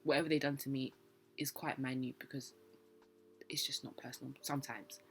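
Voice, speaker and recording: A young woman hosting a casual podcast chat, thinking out loud in a reflective, emphatic way. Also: extremely low pitch, fairly even intonation, a little fast, soft background music. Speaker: podcast host.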